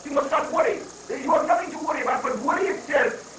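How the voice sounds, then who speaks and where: High-pitched coaxing guru, acted by a man. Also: fast, a bit bright. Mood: soothing explaining. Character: calm, coaxing male guru advising a president. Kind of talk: dramatic reading of a satirical play. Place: roomy indoor live reading, far from the mic. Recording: roomy, distant, noisy 1980s live recording.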